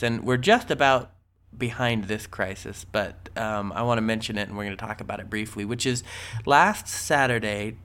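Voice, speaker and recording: A man's voice: medium pitch, a bit bright. A man with a cold, sniffly, co-hosting a casual podcast and rambling through the news with many ums and restarts. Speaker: podcast co-host.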